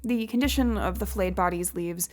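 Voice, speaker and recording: An actress playing a composed senior researcher in an audio drama, giving a formal, precise, detached case briefing. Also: female voice, mid-range voice, normal speed, darkish tone, natural voice. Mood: clinical, cautioning. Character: composed, clinical female senior researcher leading case debriefs.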